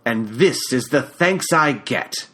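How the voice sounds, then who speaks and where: A narrator doing a stern older British gentleman, an artist who sounds wounded and scornful. He speaks in a pompous, indignant way, leaning on his words slowly and a touch higher than his usual voice. It is a storybook character voice. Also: animated, breathy edge, exaggerated voice. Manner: pompous, indignant, drawn-out emphasis. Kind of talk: audiobook character voice.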